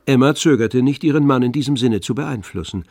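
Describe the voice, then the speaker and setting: A man narrating a literary radio play in a composed, measured manner. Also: mid-range voice, gravelly, over music, close to an everyday voice.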